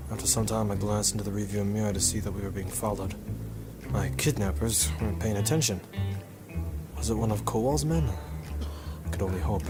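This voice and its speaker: A male actor as a hard-boiled noir gumshoe with a low voice. He talks tough and drily sardonic, in the manner of a 1950s radio drama, with music playing underneath. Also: close to an everyday voice. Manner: wry, tough-talking, sardonic.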